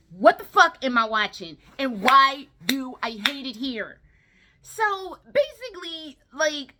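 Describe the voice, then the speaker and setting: A woman's voice: high voice, bright, forceful tone, slight background noise. A woman giving casual, chatty commentary in a blunt, exasperated way, with plenty of slang and swearing. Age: adult, no longer young. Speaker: vlogger reviewing films.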